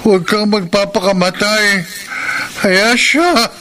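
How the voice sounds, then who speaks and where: A man's voice: sits high, takes it slowly, slight background noise, heavily acted. An actor playing a selfish young womanizer in a melodramatic radio drama. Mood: panicked, worried. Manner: melodramatic, emphatic. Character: selfish young womanizer, heartless then guilt-stricken. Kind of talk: radio drama acting and confessional narration. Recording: loud music under the voice.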